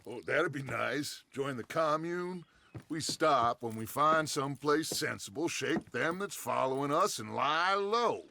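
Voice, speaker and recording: An actor playing a theatrical, eloquent middle-aged outlaw gang leader with an American accent in a cinematic video game. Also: male voice, a little high, expressive, a little slow, creaky, pushed and bright, exaggerated voice. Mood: weary, persuasive. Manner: grandiloquent, persuasive, orating.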